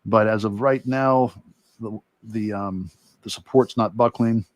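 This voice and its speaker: A man's voice: on the low side, steady tone, measured pace, no room sound. A man giving a casual instructional demonstration as an illustrator, thinking aloud in loose, broken asides as he works. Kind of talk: casual instructional talk while working.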